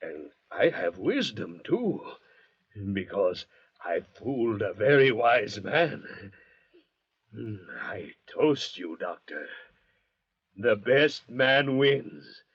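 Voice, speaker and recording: Male, bitter thirst-mad castaway. Slow, rough-edged delivery, coldly polite threat, 1940s radio melodrama. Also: fairly low pitch, big pitch swings, full character voice. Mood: menacing, gloating. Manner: mock-courteous, menacing, deliberate. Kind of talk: 1940s radio drama acting. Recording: muffled restored 1940s radio recording.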